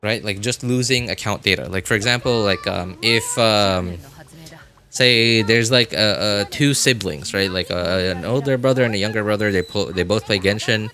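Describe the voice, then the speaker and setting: A man's voice: on the low side, moderate pace, bright, forceful tone, faint music behind. A man hosting a game livestream, chatting casually and rambling as he repeats and reworks his phrases. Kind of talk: casual live stream chat.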